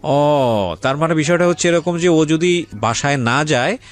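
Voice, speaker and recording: A man's voice: on the high side, expressive, measured pace. A man with a Bangladeshi accent hosting a radio interview, speaking emphatically, repeating phrases and mixing in English words. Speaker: radio host. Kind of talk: radio interview and commentary on life stories. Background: faint music.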